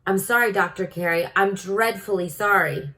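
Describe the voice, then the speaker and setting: A woman's voice: mid-range voice, moderate pace, bright, forceful tone. Stuart, woman reading as tiny earnest mouse. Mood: anxious, sorry. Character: tiny polite male mouse, curious and anxious.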